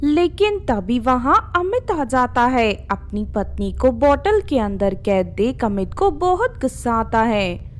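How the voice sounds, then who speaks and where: A woman's voice: on the high side, very animated, slow pace. A woman narrating a scripted children's story as its storyteller.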